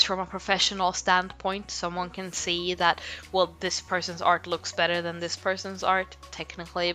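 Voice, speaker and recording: Young woman rambling about art, bright tense voice, music playing underneath. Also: lowish voice. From Sweden. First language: Swedish. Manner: rambling, frequent "like" fillers, self-laughing asides. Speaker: art vlogger. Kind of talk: casual talk to camera. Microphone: home microphone. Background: background music.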